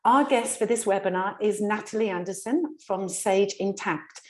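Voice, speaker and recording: A woman's voice: medium pitch, moderate pace, slight background noise. A woman hosts a webinar over a video call. She sounds friendly and chatty, with an everyday mid-range voice. She often restarts her phrases as she introduces the guest and the house rules. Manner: friendly, chatty, repeats herself in small restarts. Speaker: webinar host.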